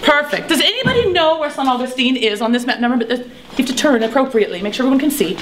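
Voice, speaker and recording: A woman's voice: high voice, lively intonation, very fast, fairly bright tone, reverberant, a little hiss or hum. A woman playing a proud Spanish colonial teacher who lectures a crowd in a live history reenactment. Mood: instructing. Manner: theatrical, bossy, addressing a crowd.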